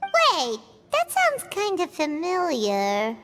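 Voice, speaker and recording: Tiny childlike game fairy: very high, squeaky, eager, swooping delivery over music. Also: female voice, huge pitch swings, takes it slowly, heavily acted. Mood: curious, concerned. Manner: chirpy, eager. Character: tiny cheerful childlike fairy companion, female. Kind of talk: video game character acting. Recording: music playing behind the voice.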